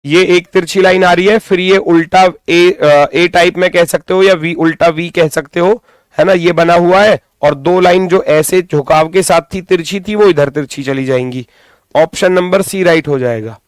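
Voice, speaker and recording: High-pitched male teacher with a strained throat. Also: clear, resonant tone, dry recording. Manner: informal, directive, repeats phrases for emphasis. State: strained throat, recovering from lost voice. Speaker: reasoning teacher for exam coaching. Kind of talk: live class lesson, thinking aloud.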